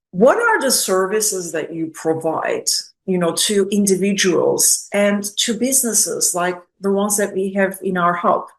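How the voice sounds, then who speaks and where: A woman hosting a business spotlight interview, speaking in an effusive, complimentary way with deliberate phrasing. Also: mid-range voice, vocal fry. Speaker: interview host.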